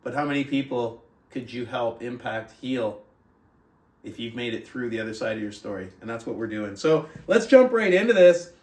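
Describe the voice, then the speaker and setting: A man's voice: medium pitch, expressive, moderate pace, a little mellow. A man speaking as an earnest, conversational motivational book club host, moving between talking to listeners and reading aloud. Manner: earnest, conversational, rhetorical check-ins like "right?". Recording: roomy echo, distant mic.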